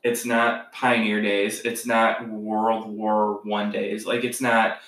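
A man's voice: on the low side, little pitch movement, slow, fairly bright tone, recorded from a distance. A young man from the United States in casual chat as a podcast co-host, rambling and musing with lots of filler words. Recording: roomy sound, set back from the mic.

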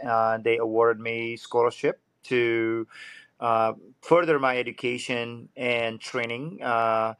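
A man's voice: mid-range voice, fairly bright tone, smooth, no echo. A man in his thirties from Indonesia, speaking, is a swim coach guest telling his story earnestly and searching for words. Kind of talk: casual interview.

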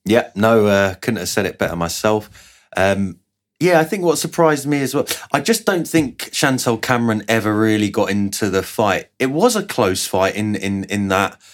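A man hosting a casual boxing podcast, his voice hoarse from a sore throat and fading, joking at his own expense. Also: fairly low pitch, lively intonation, normal speed. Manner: self-mocking, joking. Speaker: podcast host.

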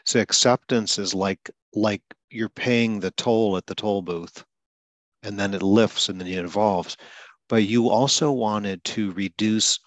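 An older man who stutters a little himself, calmly explaining ideas to a client in an interview. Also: fairly low pitch, dry recording. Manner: explains slowly and deliberately, stutters lightly himself. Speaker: speech coach interviewing a client. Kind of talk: calm conversational interview.

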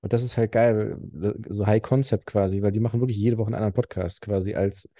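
A man's voice: fairly low pitch, steady tone, fast talker, dark tone, no room sound, studio quality. A man co-hosting a casual podcast, rambling and full of fillers as he tells stories. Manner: rambling, filler-heavy storytelling. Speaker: podcast co-host. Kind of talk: casual chat.

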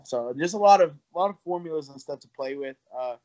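A man's voice: a little high, lively intonation, fast, darkish tone, lo-fi sound. A man in his twenties, a fighter, talking casually in an interview in a loose, rambling way with some laughs. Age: 20s.

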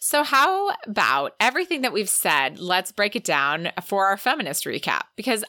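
A woman's voice: medium pitch, sing-song, normal speed, bright, forceful tone, no echo, studio quality. A woman co-hosting a book podcast, chatting casually and with effusive enthusiasm. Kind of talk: casual book discussion chat.

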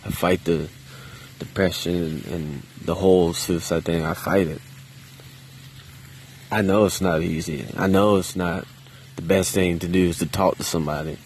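Deep-voiced guy mumbling a quiet hesitant monologue out in a park, cars faint in the background. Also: steady tone, normal speed, long pauses, slight background noise, low-quality recording. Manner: quiet, subdued, hesitant with many restarts; loose and conversational with casual swearing. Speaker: vlogger. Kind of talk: casual solo monologue. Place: outdoors in a park.

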